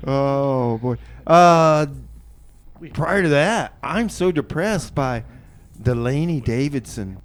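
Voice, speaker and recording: A man's voice: mid-range voice, expressive, takes it slowly. A man hosting a community radio music show, bantering casually and back-announcing songs in a folksy, rambling way. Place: radio studio.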